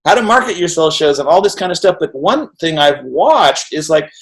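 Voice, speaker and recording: A middle-aged man hosting an interview over a video call, talking in an energetic, rambling way and often tagging on "right?". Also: on the high side, normal speed. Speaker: interview host. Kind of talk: animated casual conversation.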